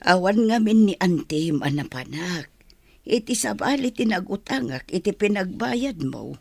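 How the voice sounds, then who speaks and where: Gentle moralizing village parent, raised voice played by a man. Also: sits high, very animated, unhurried, creaky, dry recording, exaggerated voice. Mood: caring. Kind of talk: Ilocano radio drama acting.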